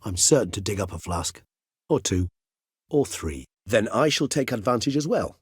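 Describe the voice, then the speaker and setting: A courtly old-world vampire scholar for a video game, low voice, wordy and measured, kinda weary, dry studio sound. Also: male voice, expressive, husky, no room sound. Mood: wry, genial. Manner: formal, eloquent, measured with thoughtful pauses. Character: courtly, erudite, world-weary male higher vampire, reflective and gentle. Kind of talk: video game character dialogue.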